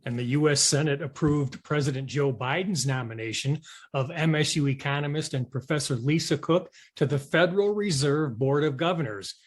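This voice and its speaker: Higher-pitched man hosting an interview. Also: normal speed, slightly rough. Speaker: show host, interviewer. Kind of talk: scripted interview questions, radio-style.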